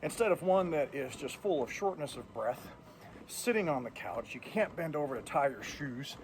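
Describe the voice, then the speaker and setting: A man's voice: on the high side, expressive, moderate pace, rough recording. A man in his fifties talks straight to his viewers in a blunt, plainspoken way. He chats casually while walking on a treadmill. A faint hum sits behind his voice. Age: 50s. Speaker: truck driver and vlogger. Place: indoors on a treadmill.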